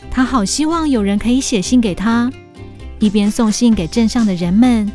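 Higher-pitched woman narrating a children's story. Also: normal speed, faint music behind. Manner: expressive, clearly scripted storytelling.

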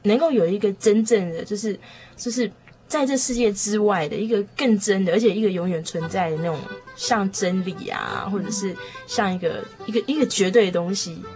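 A woman's voice: mid-range voice, fairly quick, darkish tone, faint music behind. A young woman with a Taiwanese Mandarin accent in casual reflective discussion, tentatively searching for words. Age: young adult. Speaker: guest on a discussion show.

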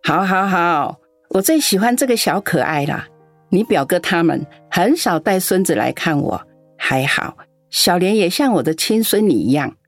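A woman's voice: on the low side, big pitch swings, moderate pace, faint music behind. A woman acting a lonely elderly grandmother in a radio drama, doting on the young but scolding her grown daughter in an aggrieved way. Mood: fond. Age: older. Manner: fond and sighing, turns scolding and aggrieved.

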